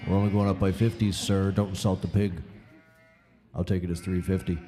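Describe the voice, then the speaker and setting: A man acts out a folksy livestock auctioneer in an audio comedy. His voice sits low, and he rambles on with wordy, sardonic patter over faint music. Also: level tone, a little fast, natural voice. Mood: wry, droll. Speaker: actor in a scripted comedy series. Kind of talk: scripted audio comedy acting.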